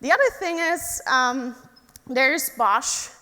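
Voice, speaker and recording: Young woman, German accent, high voice, bright tone, friendly presentation with audience questions, many ums, hall reverb. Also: expressive, slow pace. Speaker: engineer giving a talk.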